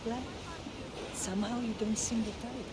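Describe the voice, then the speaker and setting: A woman's voice: fairly high pitch, fairly even intonation, normal speed, very noisy, lightly acted. An actress playing a guarded, skeptical woman on a business visit in a video game, speaking in a dry, cool, clipped way.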